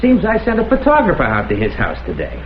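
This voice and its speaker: Annoyed old-movie police boss, curt, voice jumps around, echoey tinny sound. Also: male voice, high-pitched, wildly swooping pitch, quick pace, slight background noise. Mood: irritated. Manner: curt, forceful, dismissive. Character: irritated, bossy police lieutenant. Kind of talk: vintage crime drama acting.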